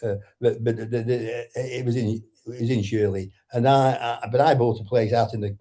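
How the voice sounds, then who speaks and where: A man's voice: mid-range voice, fairly even intonation, moderate pace, warm tone. A man in his eighties from Lancashire, a guest author speaking in a casual interview with a northern English accent, often hesitating and restarting. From Lancashire, England. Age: 80s. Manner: hesitant, many ums and restarts.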